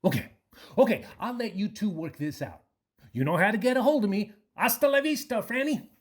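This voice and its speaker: A woman playing a friendly, easygoing aging hippie man in a radio play, husky and slangy. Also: mid-range voice, lively intonation, fairly quick, natural voice. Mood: soothing.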